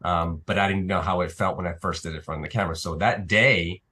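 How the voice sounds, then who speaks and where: A man's voice: sits low, steady tone, quick pace, pushed and bright. A man raised in Italy, guest on a talk show, talking hesitantly with many fillers. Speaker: actor and filmmaker guest. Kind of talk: interview.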